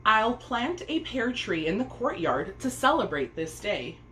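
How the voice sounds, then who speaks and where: A woman reader voicing an emotional old gentleman, a father in a storybook, given to exclaiming and wailing. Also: fairly high pitch, sing-song, unhurried, pitched above the performer's own voice, full character voice. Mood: joyful. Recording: roomy echo, faint noise.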